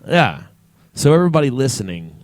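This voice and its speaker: A man's voice: medium pitch, big pitch swings, brisk. A man hosting a casual, unscripted radio talk show.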